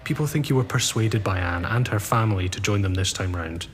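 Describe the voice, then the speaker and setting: Guy doing a blunt friend, super deep voice, echoey hall. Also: brisk, lightly acted. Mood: stern. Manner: blunt, plain-spoken. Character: loyal, blunt Scottish friend of the earl. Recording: long hall reverb, faint low rumble.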